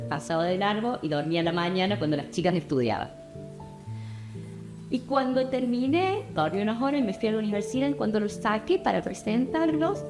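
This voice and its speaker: A woman in her thirties from Argentina with an Argentine accent, a painter telling her story in a documentary interview in a reflective, measured way. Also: medium pitch. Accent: Argentine Spanish.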